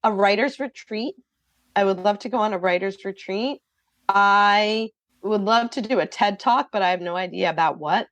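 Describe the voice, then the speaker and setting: A woman's voice: medium pitch, normal speed, fairly bright tone, no room sound, dull audio. A middle-aged woman, a guest author, answering podcast interview questions in an earnest, thoughtful way.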